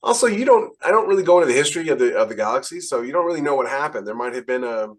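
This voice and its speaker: A man's voice: mid-range voice, lively intonation, very fast. Rambling man in casual podcast talk. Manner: rambling, repeats phrase starts. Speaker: podcast host, military veteran and sci-fi writer. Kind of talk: casual podcast conversation with anecdotes.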